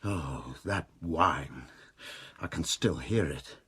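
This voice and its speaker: An actor playing a remorseful wealthy adulterer confessing to police in a radio drama, anguished, halting and full of self-reproach. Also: male voice, very deep voice, expressive, a bit bright, husky, heavily acted. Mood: agitated, haunted.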